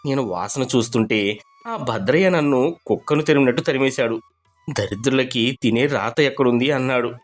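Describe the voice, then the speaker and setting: A man voicing a poor, humble village laborer in an animated story, earnest and expressive. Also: mid-range voice, normal speed, soft background music, natural voice. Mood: downcast. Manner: expressive, pleading. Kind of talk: cartoon storybook voice acting.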